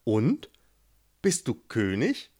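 A man's voice: mid-range voice, wildly swooping pitch, drawn-out words, mellow, full character voice. A man voicing a giant, kindly female toad who lives in a cave and chants magic rhymes. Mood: friendly. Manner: chanting rhymes, chatty, hesitant.

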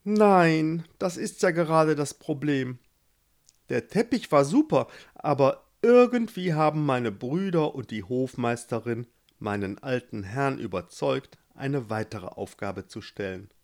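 A man's voice: medium pitch, a little slow. A man voicing a young, unlucky prince who whines and pities himself. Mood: complaining. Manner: complaining, excitable. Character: young unlucky prince, whiny and self-pitying.

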